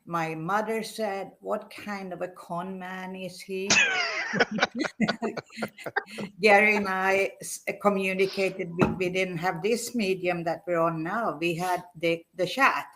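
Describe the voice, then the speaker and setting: An older woman speaks as a guest in a relaxed conversation, chatty and quick to laugh. She takes her time, talking at a slow, unhurried pace. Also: medium pitch. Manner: chatty, laughs while telling stories. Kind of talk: casual chat.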